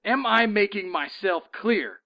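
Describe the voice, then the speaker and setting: An actor playing an exasperated, sarcastic police lieutenant in an audio drama, creaky and commanding, in muffled sound. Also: male voice, high voice, animated, unhurried, exaggerated voice. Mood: stern, threatening. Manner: scornful, commanding.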